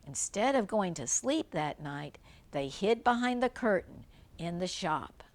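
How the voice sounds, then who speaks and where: An older woman reads a children's story aloud at an unhurried pace, with lively rises and falls in pitch. She speaks as a grandmother would to a small child, stopping to explain things simply. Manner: simple explanations, gentle questions to a young listener. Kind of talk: read-aloud children's storybook with chatty asides.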